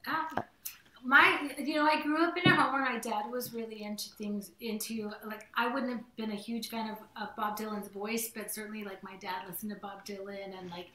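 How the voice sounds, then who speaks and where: A woman's voice: medium pitch, fairly even intonation, normal speed, pushed and bright, reverberant, slight background noise, low-quality recording. A middle-aged woman, a singer-songwriter, chatting on a video call in a rambling casual way. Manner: chatty, rambling, says "like" often. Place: home room with green wall.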